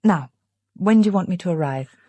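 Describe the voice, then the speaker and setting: An actress playing a cool, businesslike British investigator with a husky voice in a radio drama. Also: female voice, fairly low pitch, lively intonation, a little fast, dark tone, no echo. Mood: businesslike, probing. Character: cool, businesslike female investigator questioning a client. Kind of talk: full-cast radio drama acting.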